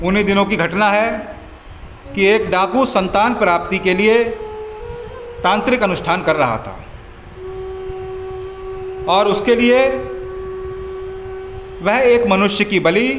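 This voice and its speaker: A man narrating a scripted religious story as a devotional storyteller, in measured, formal phrases with frequent pauses. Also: high-pitched, background noise. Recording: echoey voice over background music. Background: background music.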